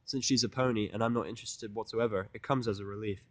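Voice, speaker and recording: A man's voice: fairly low pitch, steady tone, fast, no room sound. A man reading a first-person fan fiction audiodrama in a conversational, blunt, sardonic and profane manner. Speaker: fan fiction reader and narrator.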